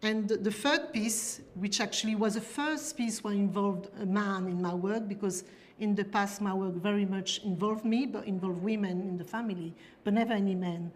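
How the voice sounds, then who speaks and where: A woman's voice: medium pitch, normal speed. A middle-aged woman from France, an artist giving a talk about her own works in a searching, hesitant way. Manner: searching and hesitant, many "you know" tags. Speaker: artist presenting her work. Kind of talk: lecture about her artworks.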